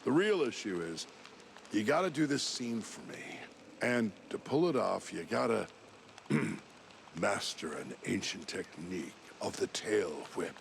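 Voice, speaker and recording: An actor playing a dazed, cocky cartoon mouse movie action hero who rambles in laid-back bro talk. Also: male voice, fairly low pitch, lively intonation, a little slow, gravelly, slight background noise. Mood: woozy, evasive. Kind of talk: cartoon game acting.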